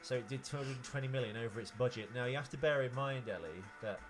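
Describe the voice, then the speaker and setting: A man's voice: mid-range voice, fast, faint music behind. A man co-hosting a casual film chat, giving opinionated explanations. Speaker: podcast co-host.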